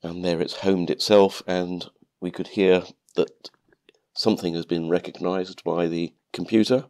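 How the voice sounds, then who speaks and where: A man narrating a calm step-by-step tutorial, sounding nasal from a cold. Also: low-pitched, a little slow. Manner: calm, methodical explaining. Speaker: maker channel host.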